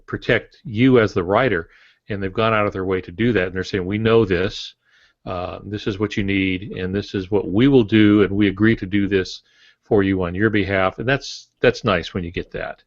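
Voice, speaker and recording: A man's voice: fairly low pitch, steady tone, moderate pace, dry recording. A man in his sixties explaining things in a podcast discussion over a video call from his home office. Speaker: podcast panelist, veteran author. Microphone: earbuds on a video call. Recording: dull, compressed video-call audio.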